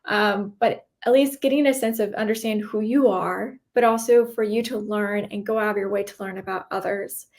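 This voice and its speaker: A woman's voice: mid-range voice, steady tone, normal speed, hardly any pauses, darkish tone. A woman guest on a podcast, explaining her views in an earnest, conversational way and often checking in with the listener. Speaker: podcast guest, cybersecurity professional. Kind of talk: casual conversational interview answers.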